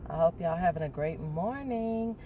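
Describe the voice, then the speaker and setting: A woman records a relaxed morning vlog on her phone. She talks in a loose, reflective way, drifts between thoughts and laughs easily. Her voice sits low and sounds deeper than usual, with faint noise in the background. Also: moderate pace. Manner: chatty and reflective, laughs often, rambles. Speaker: vlogger. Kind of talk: casual morning talk. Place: indoors, talking to her phone.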